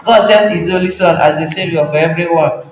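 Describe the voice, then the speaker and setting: A man's voice: on the high side, a little fast. A young man giving a scripted devotional narration for a nativity play. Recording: echoey hall, long reverb, music underneath.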